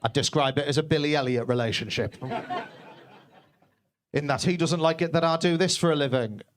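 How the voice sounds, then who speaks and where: A man's voice: a little high, a little fast, a little hiss or hum. A young man from Newcastle, doing a brash, self-deprecating stand-up set in a basement comedy club, swearing freely. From Newcastle, England.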